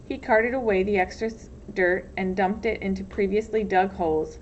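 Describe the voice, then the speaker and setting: A woman's voice: medium pitch, steady tone, normal speed. A woman reading a storybook aloud as narrator, expressively, like a teacher. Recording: dull lo-fi sound, faint noise.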